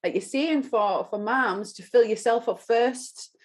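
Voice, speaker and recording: A woman hosting a casual chat podcast, upbeat and eager, with quick asides to her guest and listeners. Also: on the high side. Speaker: podcast host.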